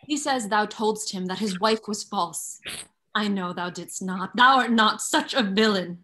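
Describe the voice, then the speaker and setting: A woman's voice: medium pitch, measured pace, bright, forceful tone. A woman reading a grief-struck, furious woman servant in a play reading over a video call, accusing and crying out. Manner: accusing, cries out.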